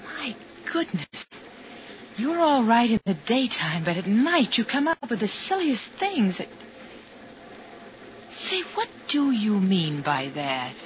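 A flustered young woman with a high, very lively voice. She is indignant and bewildered, protesting in 1950s radio drama manner. The vintage recording is muffled and noisy. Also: slow pace. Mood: indignant, puzzled. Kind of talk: 1950s radio thriller acting.

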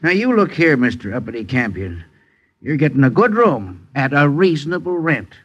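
Grumbling, stingy landlord, animated pitch. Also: male voice, normal speed, highs cut off. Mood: defensive, irritated. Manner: hesitant, grumbling, folksy grammar. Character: stingy, grumbling, suspicious boarding-house landlord, easily flustered. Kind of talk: 1950s radio drama acting.

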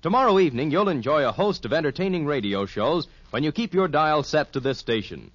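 A dry, muffled vintage broadcast of an American man announcing. His voice is highish and rises and falls freely. The delivery is formal, polished network radio continuity. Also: lively intonation, a little fast, no echo.

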